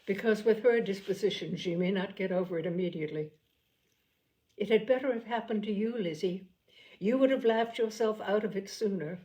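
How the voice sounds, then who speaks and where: A sensible, kindly middle-aged aunt in a storybook reading, calm and reasonable, giving gentle counsel, as a woman reader voices her. Her tone is even and measured. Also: medium pitch, close to an everyday voice, rough recording. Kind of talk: audiobook character voice.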